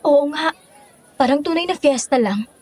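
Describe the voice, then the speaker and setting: A woman's voice: high voice, lively intonation, moderate pace, darkish tone. A woman with a Filipino accent playing a worried, earnest companion in an audio drama. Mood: earnest, doubtful. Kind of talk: Tagalog audio drama acting.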